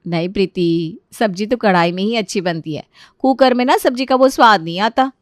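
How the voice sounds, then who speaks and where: A woman voicing a dutiful, traditional elder sister-in-law who explains gently and reassuringly in a family story. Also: medium pitch, moderate pace, no room sound, clean studio sound, natural voice. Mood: patient, instructing. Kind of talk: acted Hindi family story dialogue.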